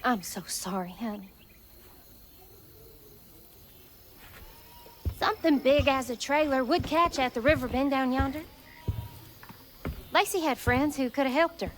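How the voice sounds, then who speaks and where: An actress playing a caring, guarded, devout Southern American woman preacher in a video game, speaking in a gentle, folksy way. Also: high voice, normal speed, close to an everyday voice. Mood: consoling, guarded. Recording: faint music behind the voice.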